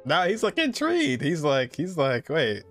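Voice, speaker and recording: A man's voice: fairly high pitch, wildly swooping pitch, moderate pace, fairly bright tone, faint music behind. A man in his twenties streaming a game, giving informal, slangy live reactions and commentary. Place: indoor streaming setup, game audio behind.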